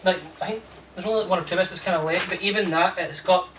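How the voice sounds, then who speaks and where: A man's voice: high voice, steady tone, fast, far from the mic, noisy background. A man chatting casually in a group discussion.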